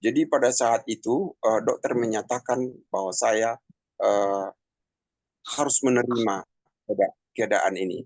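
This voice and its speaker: Gravelly man in his fifties, halting speech. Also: fairly low pitch, a little mellow, no room sound. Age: 50s. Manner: halting, many pauses and fillers. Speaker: testimony speaker. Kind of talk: personal testimony, conversational.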